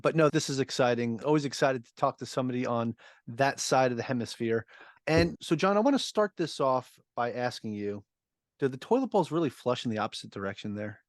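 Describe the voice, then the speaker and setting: A man's voice: medium pitch, lively intonation, brisk, no echo. Dry-sounding podcast host, jokey man. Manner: jokey and friendly, casual fillers. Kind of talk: conversational interview.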